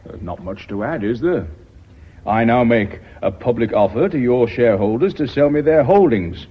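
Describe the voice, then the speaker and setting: An actor playing a rude, domineering businessman in a British radio drama, curt and brusque, with a husky voice. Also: medium pitch, lively intonation, moderate pace. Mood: blunt confidence. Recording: muffled old radio broadcast.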